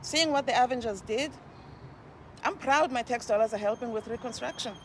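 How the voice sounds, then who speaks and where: A man's voice: extremely high pitch, normal speed, fairly bright tone. A man playing an earnest member of the public giving a street interview for the news. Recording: faint street and traffic noise.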